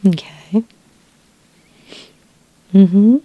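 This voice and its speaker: A woman playing a patient, reassuring sci-fi skincare consultant in a soft-spoken, half-whispered ASMR roleplay, gentle and a little hesitant. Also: medium pitch, fairly even intonation, no room sound, narrow, tinny audio, pitched above the performer's own voice. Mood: attentive, polite. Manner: gentle, hesitant, unhurried, polite questioning.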